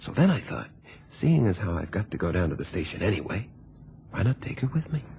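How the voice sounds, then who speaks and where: An actor playing a cool, calculating blackmailing reporter in a radio thriller, with a husky voice and a smug, sardonic way of telling things. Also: male voice, deep voice, big pitch swings, slight background noise, exaggerated voice. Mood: callous, amused. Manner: smug, sardonic, unhurried storytelling. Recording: dull, lo-fi old radio recording.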